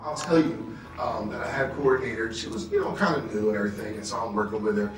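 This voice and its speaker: A man's voice: fairly low pitch, fast talker. An American man leading an interactive sales training as a motivational trainer, often checking in with his listeners. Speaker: workshop trainer. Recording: roomy echo, distant mic, faint music.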